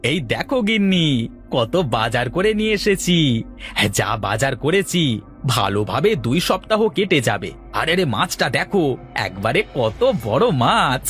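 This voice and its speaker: Male actor as cartoon village husband, boastful, sly, highish voice, very animated, theatrical repeated exclamations, music underneath. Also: normal speed. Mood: boastful, excited. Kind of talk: animated cartoon voice acting in Bengali moral story.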